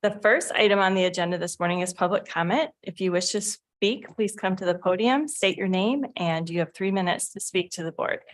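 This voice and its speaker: A woman chairing a county committee meeting, opening it and calling for public comment in a brisk, procedural way. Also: mid-range voice.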